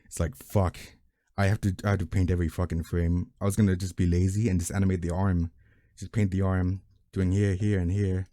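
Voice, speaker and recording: Casual male animator, very low mellow voice, dry close mic, blunt, swears freely. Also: level tone, fairly quick, no room sound. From family from Mexico. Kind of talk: casual chat.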